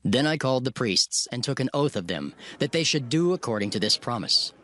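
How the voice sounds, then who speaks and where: A man narrating a dramatized King James Bible reading in a solemn, measured way. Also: medium pitch, very animated, pushed and bright. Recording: narration over clear music bed.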